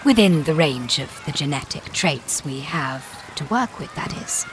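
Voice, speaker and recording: Actress as polished, guarded facility guide, low-pitched woman. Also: lively intonation, a little hiss or hum, low-quality recording. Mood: pleasant, proud. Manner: formal, measured, politely evasive. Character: polished, guarded female tour guide at a child incubation facility. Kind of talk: scripted audio drama.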